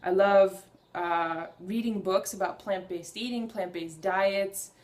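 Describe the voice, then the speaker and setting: A woman's voice: mid-range voice, slow pace, a little mellow. Young woman, vlogger, talking straight to camera. Earnest and eager, loose unscripted delivery that wanders and restarts, mid-range voice. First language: Hungarian. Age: young adult. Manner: earnest, enthusiastic, rambling with restarts. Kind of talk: casual personal vlog.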